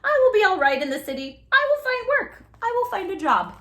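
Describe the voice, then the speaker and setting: A woman's voice: extremely high pitch, big pitch swings, fairly quick, pushed and bright, echoey room, pitched above the performer's own voice, full character voice. A woman voicing a happy, plucky young male horse from a picture book in an earnest, upbeat way. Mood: plucky, hopeful.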